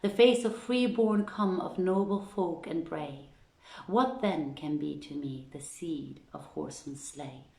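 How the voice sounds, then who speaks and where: A proud Arabian-tale princess read by a woman declaims her refusals in archaic language. The voice is low and slow but emphatic and defiant, ringing in an echoey room. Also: vocal fry, darkish tone. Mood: wrathful, defiant. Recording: roomy home livestream echo.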